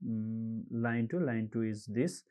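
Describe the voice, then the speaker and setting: A man from Nepal walking viewers through an online form step by step, pausing often with uh and um as he reads out codes. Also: lowish voice, mellow, smooth. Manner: halting, frequent uh and um fillers, reads out codes slowly. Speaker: tutorial presenter. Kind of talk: screen-recorded how-to walkthrough.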